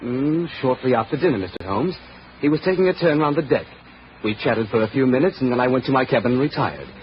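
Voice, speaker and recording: Male actor as guarded young secretary, fast, lively intonation, formal, polite, muffled vintage radio. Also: mid-range voice, lightly acted. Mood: cooperative. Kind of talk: 1940s radio detective drama acting. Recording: muffled, noisy old radio recording.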